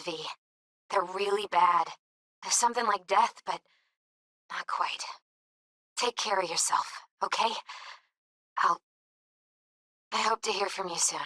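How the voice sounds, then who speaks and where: A woman playing a gentle, earnest young tarot reader in a video game, with thoughtful, hesitant phrasing. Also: medium pitch, dry recording. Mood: worried, solemn. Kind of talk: naturalistic video game dialogue acting.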